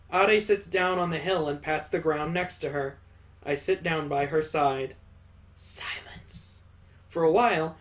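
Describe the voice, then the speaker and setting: Male game streamer, higher-pitched voice, unhurried and dramatic story narration. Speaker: let's player reading a visual novel aloud. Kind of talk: expressive read-aloud narration.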